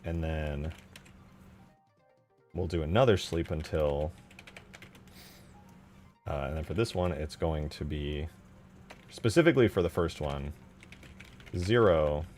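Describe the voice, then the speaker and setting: A man's voice: a bass voice, takes it slowly, pauses often, a little mellow, soft background music. A man thinking aloud in casual, informal commentary as he works at a computer, often muttering to himself. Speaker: streamer, game modder. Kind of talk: casual live commentary while scripting a game mod.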